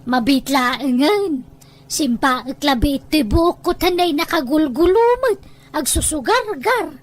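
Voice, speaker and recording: A woman's voice: high voice, lively intonation, unhurried. A woman acting in an Ilocano audio drama as a bossy, impatient, vain village woman who scolds and insists. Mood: fretful.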